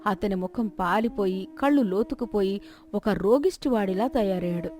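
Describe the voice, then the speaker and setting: A woman's voice: medium pitch, moderate pace, darkish tone. A woman narrator reading a scripted moral story as a storyteller.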